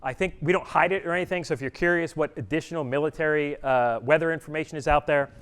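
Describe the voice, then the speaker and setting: A middle-aged man addresses a live audience in a session room, his voice loud and carrying. His tone is smooth and resonant, set a little high for a man, and his talk is conversational, sprinkled with "uh" as he explains things. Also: fast. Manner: loud, projecting voice; plain, conversational with frequent "uh" fillers. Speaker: presenter, customer education trainer. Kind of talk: conference presentation. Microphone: room mic or none, speaking to live audience.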